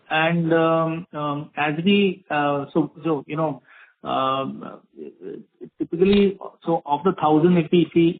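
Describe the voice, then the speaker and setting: Higher-pitched man on phone. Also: slight background noise. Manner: halting, restarting phrases. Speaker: investor caller asking questions. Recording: thin narrow phone line.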